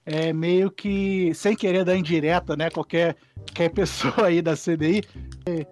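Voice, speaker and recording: High-voiced slangy man chatting casually. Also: steady tone, measured pace, a little mellow. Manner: slangy, swearing, rambling with many restarts. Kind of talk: casual chat among friends.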